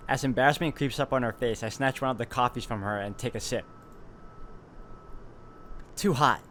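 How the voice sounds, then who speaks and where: A man walking viewers through a visual novel. His voice sits at a middle pitch. He reads the narration steadily and drops into easygoing comments on the game. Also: moderate pace, a little hiss or hum. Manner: steady, even reading, relaxed asides. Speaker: gaming YouTuber. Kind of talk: reading game text aloud with commentary.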